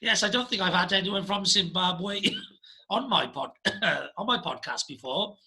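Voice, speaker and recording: A man's voice: high voice, measured pace, pushed and bright. A man hosting a podcast, chatting with a guest in an upbeat, easygoing way. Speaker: podcast host. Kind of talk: casual interview chat.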